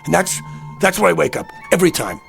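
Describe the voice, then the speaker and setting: A man's voice: sits high, sing-song, quick pace, creaky, fairly bright tone, faint music behind, exaggerated voice. An actor playing an agitated, desperate American man in a radio drama, pleading insistently in streetwise talk. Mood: troubled, insistent. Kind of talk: vintage radio drama acting.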